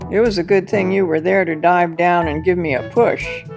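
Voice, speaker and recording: A woman voicing an excitable young boy pelican in an expressive read-aloud of a children's picture book. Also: on the low side, moderate pace, gravelly. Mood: fond, excited. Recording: music behind the voice.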